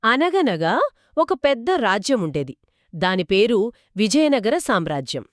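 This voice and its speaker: Lively, high-voiced woman storyteller. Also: measured pace, no echo, clean studio sound. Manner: lively, expressive children's-story telling. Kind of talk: scripted two-voice story narration.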